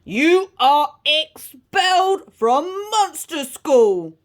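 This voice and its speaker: A woman voicing a stern male ogre headmaster in a children's storybook, booming and pronouncing each phrase. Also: sing-song, drawn-out words, full character voice.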